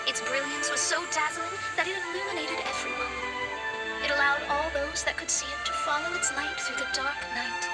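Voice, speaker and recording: Game noblewoman, acted by a woman: poised, formal, analytical, high voice, prominent music underneath. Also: close to an everyday voice, poor audio. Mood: solemn. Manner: eloquent, formal, measured. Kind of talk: video game voice acting.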